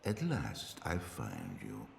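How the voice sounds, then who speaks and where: An actor playing a stern, menacing hunter in a game cinematic, deliberate and coldly probing as he confronts his quarry. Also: extremely low pitch, wildly swooping pitch, creaky, darkish tone, heavily acted. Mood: calm menace. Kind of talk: dramatic video game cinematic acting. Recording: echoing hall reverb, faint ambient noise.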